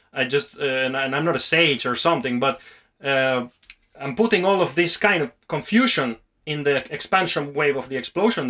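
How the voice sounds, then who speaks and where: Bright, forceful-voiced male podcast host. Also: fairly high pitch. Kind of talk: casual, reflective podcast conversation.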